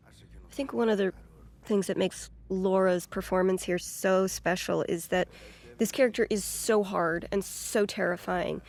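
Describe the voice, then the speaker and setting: A woman's voice: mid-range voice, fairly even intonation, measured pace, vocal fry, a little mellow. A woman talking casually as a commentator on scenes and performances behind the scenes. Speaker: commentator on the game's scenes and acting.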